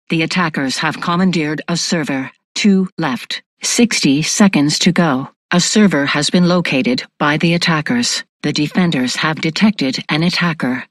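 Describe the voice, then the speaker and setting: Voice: medium pitch, no room sound, close to an everyday voice. A performer voicing an impersonal AI security announcer in a sci-fi video game, with formal, clipped delivery.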